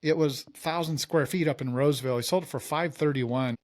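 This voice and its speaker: A middle-aged man from California hosts a relaxed interview. His talk rambles along with plenty of fillers, and his voice sits somewhat high for a man. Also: a little fast. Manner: rambling, conversational, many fillers. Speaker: podcast host. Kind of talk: casual interview chat.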